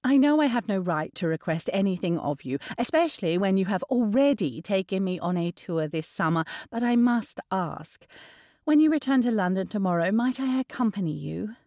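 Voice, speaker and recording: Female narrator as spirited young woman, animated, well-mannered, earnest, close dry audiobook sound. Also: medium pitch, measured pace, dry recording. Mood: pleading.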